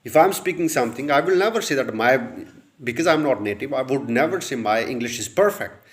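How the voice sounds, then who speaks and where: A man's voice: medium pitch, lively intonation, brisk. Earnest Pakistani man coaching English. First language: Urdu. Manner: earnest, repeats points, frequent "uh" fillers. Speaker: English tutor. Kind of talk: advice talk to camera.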